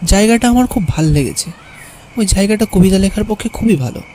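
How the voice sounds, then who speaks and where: A man playing a carefree, stubborn young city poet who tells his own tale in an animated horror story. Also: high voice, brisk, a little hiss or hum. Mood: curious, dismissive. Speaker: narrator and lead character. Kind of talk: first-person story narration and cartoon dialogue.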